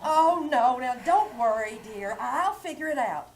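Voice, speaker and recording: A woman's voice: sits high, expressive, a little slow, a little hiss or hum. A woman playing a scatterbrained, cheerful wife who mangles her words in a live 1940s-style radio comedy. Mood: chirpy. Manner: chirpy malaprop-prone chatter. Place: theater stage.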